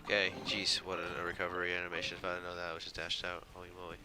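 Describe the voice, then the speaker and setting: A man's voice: low voice, completely flat delivery, very fast. A man streaming a game with casual, profane commentary, muttering reactions as he plays. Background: game music.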